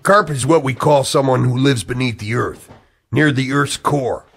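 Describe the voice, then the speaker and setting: An actor playing an agitated, earnest man who believes in a dark conspiracy, in a radio-style drama. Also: mid-range voice, moderate pace, gravelly, breathy edge. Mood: grave, insistent. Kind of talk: scripted radio-play acting.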